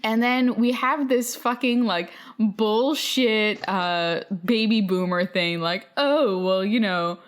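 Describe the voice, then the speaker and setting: A young adult woman co-hosting a podcast in casual banter, opinionated, sweary and quick to interject. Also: on the high side, smooth. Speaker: podcast host.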